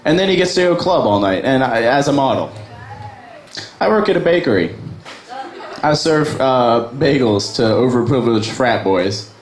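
A man's voice: medium pitch, lively intonation, moderate pace. A young man from Virginia doing a casual, crude, self-deprecating stand-up set at an open mic in a small bar. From Virginia, USA. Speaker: stand-up comic. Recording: echoey bar with background noise.

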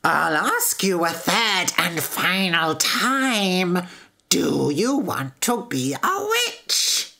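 Woman acting a menacing old witch, storybook villain, slow drawn-out delivery, stern, demanding. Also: fairly low pitch, sing-song, vocal fry, sharp tone, heavily acted.

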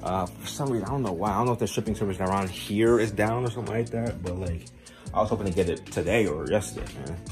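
A low-voiced guy in his twenties walking around his house, filming a slangy, stop-and-start vlog on his phone with music playing. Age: 20s. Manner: informal, slangy, halting, often says "man". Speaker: vlogger. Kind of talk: casual rambling chat to camera. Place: indoors at home, moving between rooms. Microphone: handheld phone at arm's length.

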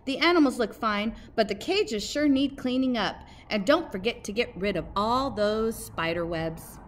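A woman's voice: fairly high pitch, lively intonation, normal speed, slight background noise, lightly acted. Woman reading as a bossy, emphatic male zoo keeper.

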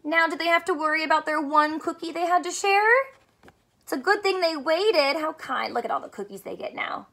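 A young woman teacher doing a read-aloud math lesson for children, animated and full of questions, speaking clearly for kids. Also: high voice, brisk. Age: young adult. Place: small furnished room at home, indoors.

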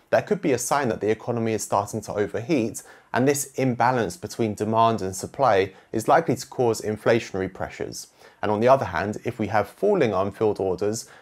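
A young adult man presenting a scripted finance explainer to camera from a small home studio, measured and steady in his explaining. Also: medium pitch. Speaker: finance educator presenting a video series.

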